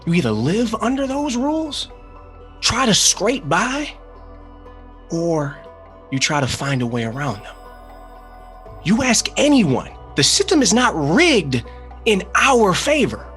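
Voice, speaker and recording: A male actor plays an earnest man recovering from gambling, worn down and ready to take a risk. He speaks in halting, confessional bursts with a very mobile pitch. It is dramatic table-read acting. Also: huge pitch swings, a bit bright, soft background music, exaggerated voice. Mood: earnest, indignant.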